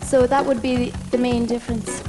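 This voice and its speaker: A young woman with an Irish accent, answering a host's questions as a pageant contestant in a large arena, in a measured, composed way. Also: a little high, little pitch movement, in a large hall, loud music behind the voice. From Ireland. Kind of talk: answering interview questions on stage.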